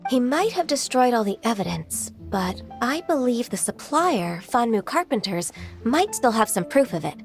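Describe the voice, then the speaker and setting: An actress playing a composed young official in a video game, speaking in a measured, courteous, formal way. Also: female voice, fairly high pitch, sing-song. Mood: gentle, polite. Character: composed, polite, businesslike young female official. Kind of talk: English video game voice acting. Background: soft game music.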